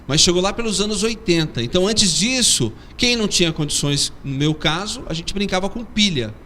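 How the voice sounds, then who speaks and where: A Paulistano man in his thirties tells stories with animated energy. His voice sits fairly high and sounds bright. A faint hiss of noise runs under it. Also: fairly quick. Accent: Paulistano Brazilian Portuguese. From São Paulo, Brazil. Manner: animated storytelling. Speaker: comedian guest. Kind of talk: interview chat.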